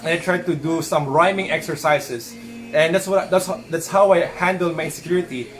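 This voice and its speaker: A man's voice: fairly high pitch, steady tone, faint music behind, low-quality recording. A young man answering fan questions in a casual live-stream chat, earnest and switching between English and Tagalog as he talks. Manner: says he stutters when his thoughts run fast.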